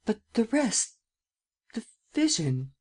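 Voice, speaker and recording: A man's voice: on the high side, big pitch swings, moderate pace, dark tone. A man voicing a terrified, despairing young poet in an audiobook, stammering and pleading in anguish. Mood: dazed, anxious. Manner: anguished, stammering, gasping pleas. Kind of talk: dramatic audiobook character acting.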